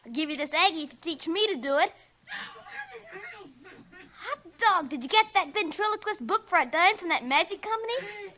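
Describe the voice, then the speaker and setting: A woman's voice: very high voice, lively intonation, normal speed, pauses often, bright, forceful tone, full character voice. A woman playing a skeptical, cheeky ten-year-old American boy with blunt, slangy talk in a 1940s radio drama. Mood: impressed, eager. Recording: noisy 1940s radio recording.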